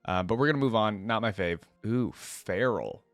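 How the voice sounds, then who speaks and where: Male reaction host, lowish pitch, conversational off-the-cuff commentary, thinks aloud, very dry recording. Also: animated, normal speed, dry recording.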